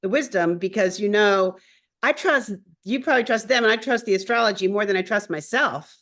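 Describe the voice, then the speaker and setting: A woman speaks at a middle pitch as she interviews a guest. Her manner is earnest, and she affirms what the guest says. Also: fairly quick, a bit bright. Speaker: astrologer and show host. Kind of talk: interview conversation.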